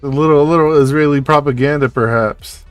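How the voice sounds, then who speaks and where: A man's voice: a little high, steady tone, a little fast, no echo, soft background music. A man doing casual live reaction commentary as a streamer, sweary and incredulous.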